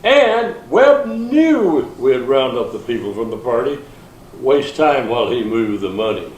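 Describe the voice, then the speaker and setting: A man reading the part of a wry police detective in an amateur readers theater, deliberate in manner. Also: medium pitch, sing-song. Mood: focused. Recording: echoey room from far off, faint noise.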